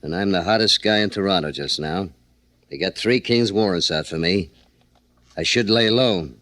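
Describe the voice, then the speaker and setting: An actor playing a tough, menacing drug dealer in 1940s radio crime style, terse and commanding. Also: male voice, low-pitched, normal speed, fairly bright tone. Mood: hard, sardonic. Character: menacing hard-boiled male drug dealer, Big Jim.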